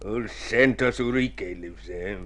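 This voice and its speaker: An actor playing a crooked, scheming consul in a golden age radio drama, theatrical, wheedling and pleased with himself. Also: male voice, fairly low pitch, unhurried, a bit bright. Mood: sly, persuasive. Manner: wheedling, theatrical, self-satisfied, chuckling schemer. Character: scheming, crooked male consul, smooth-talking and gleeful.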